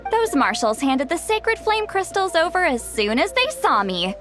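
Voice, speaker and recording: A little granny from a video game, self-assured and brisk. She speaks high with lively swings, matter-of-fact, over music. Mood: brisk, proud. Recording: music behind the voice.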